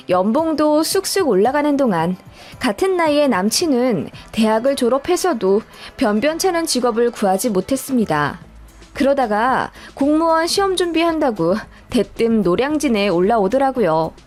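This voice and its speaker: A woman's voice: a little high, a little mellow. A woman reading a scripted first-person personal story as a narrator, in a storytelling style. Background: background music.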